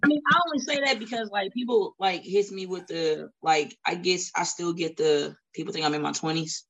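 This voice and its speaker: A man in his thirties hosting a podcast, talking in a loud, profane and preachy way. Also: sits high, moderate pace, vocal fry, low-quality recording. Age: 30s. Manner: animated, preachy, exclaims loudly. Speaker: podcast host. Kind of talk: casual, profane chat.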